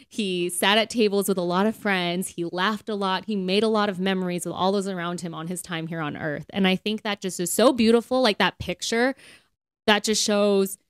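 A woman's voice: medium pitch, dry recording. Woman chatting casually, close and dry. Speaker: podcast co-host. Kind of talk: casual faith conversation.

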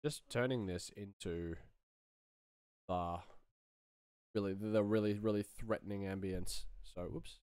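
A man's voice: on the low side, fairly even intonation, measured pace, pauses often, a little mellow, clean tone, no echo, studio quality. A man in his thirties, a sound designer giving casual, fragmented commentary as he works, half to himself. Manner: muttering, fragmented phrases while concentrating. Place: small home room, indoors.

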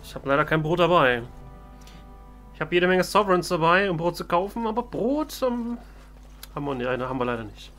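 Musing man with soft music behind. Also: a little high, lively intonation, a little fast. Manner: musing, thinking aloud. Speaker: let's player. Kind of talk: casual in-character game commentary.

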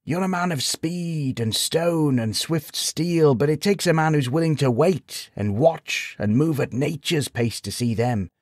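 A man voicing a kindly old village sage in a storybook, gently chiding and wry, explaining patiently in folksy rhetorical questions. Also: mid-range voice, normal speed, no echo, studio quality, voice pushed up, close to an everyday voice. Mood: grave, admiring. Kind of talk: storybook character voice.

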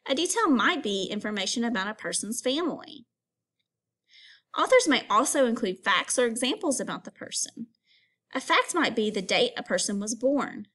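Female primary teacher, voice a bit high, expressive and instructive read-aloud for kids. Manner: expressive storytelling, instructive, addresses pupils with questions. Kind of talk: read-aloud lesson for children.

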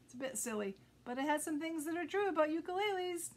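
A woman in her fifties reading a children's story aloud with expressive, deliberate phrasing. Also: very high voice. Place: indoor room with green curtain backdrop.

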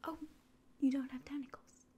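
Young woman's soft, mellow ASMR whisper. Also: high-pitched, level tone. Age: 20s. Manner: gentle, slow, instructing. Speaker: ASMR performer playing an alien doctor giving an exam. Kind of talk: whispered ASMR medical roleplay. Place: small room indoors.

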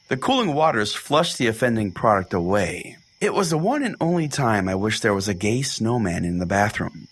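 A man's voice: on the low side, fairly bright tone, slightly rough, full character voice. A man playing an oblivious, quirky pastor who hosts a spoof religious podcast in a folksy, rambling, mock-earnest way. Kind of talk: comedic podcast hosting and reading aloud.

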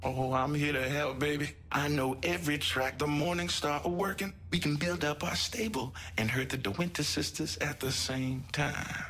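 An actor playing a brash, crude street pimp who teases and swaggers. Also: male voice, a little high, steady tone, normal speed, hardly any pauses, fairly bright tone. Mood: swaggering. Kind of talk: video game cutscene. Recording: noisy game background.

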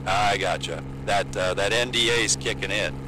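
A man's voice: fairly low pitch, moderate pace, lightly acted. A man playing a chatty, blunt helicopter pilot in a naturalistic audio drama. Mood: curious, wry. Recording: loud engine hum throughout. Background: helicopter engine.

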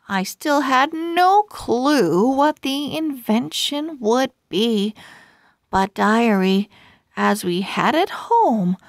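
A woman reading a children's storybook aloud as a read-aloud host, in an expressive, deliberate way. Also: on the high side, vocal fry, no room sound, clean studio sound. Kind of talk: slow scripted storybook read-aloud for young readers.

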